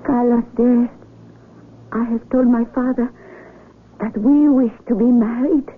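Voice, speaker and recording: An actress playing an earnest, devoted young daughter in love, speaking gently and formally in a radio drama. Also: female voice, fairly high pitch, steady tone, a little slow. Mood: tender. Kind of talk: old-time radio drama acting. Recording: noisy, thin phone-like vintage radio recording.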